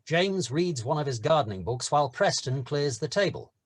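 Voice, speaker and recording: A man's voice: a little high, expressive, normal speed. A man reading stage directions as narrator in a scripted screenplay table read.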